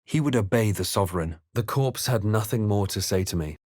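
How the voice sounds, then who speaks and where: A man playing a brooding fantasy adventurer in a game, recounting his journey in a measured, solemn, dramatic way. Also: on the low side, animated, a little mellow, no room sound, studio quality. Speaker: player character voice actor.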